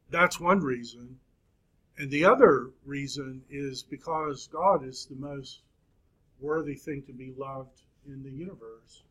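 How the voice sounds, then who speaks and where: Slow, halting man in his fifties. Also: mid-range voice, bright, forceful tone, low-quality recording. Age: 50s. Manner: slow, halting, many fillers and pauses. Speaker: Bible teacher. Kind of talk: classroom lecture.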